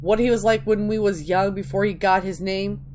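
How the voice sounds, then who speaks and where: Woman as a rough, chatty street gangster, noisy recording. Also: mid-range voice, fairly even intonation, normal speed, darkish tone, lightly acted. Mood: gruff reminiscing. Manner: colloquial street storyteller. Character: rough, chatty street gang member reminiscing about old days. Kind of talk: dramatic reading of video game lore.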